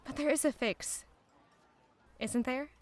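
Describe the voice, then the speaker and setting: A woman's voice: sits high, slow. A woman playing a thoughtful young royal who narrates her own story in an audio drama. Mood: reflective.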